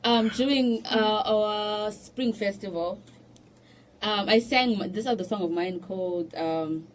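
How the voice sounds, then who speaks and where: A young woman from Zimbabwe who is a musician, chatting as a guest in a radio studio and telling stories with restarts and soft laughs. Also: medium pitch, a little slow, slight background noise. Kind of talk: casual radio interview chat.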